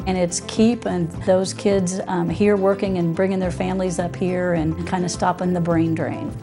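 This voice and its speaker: A middle-aged woman speaks in a somewhat low voice. She gives a conversational customer testimonial for a promotional spot, with music clearly playing behind her. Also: flat delivery, moderate pace. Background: music under the voice.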